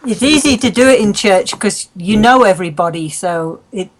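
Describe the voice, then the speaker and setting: A woman's voice: medium pitch, expressive, moderate pace, rough recording. A woman co-hosting a live talk show, asking questions in a friendly way with light fillers. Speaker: co-host. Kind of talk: casual interview.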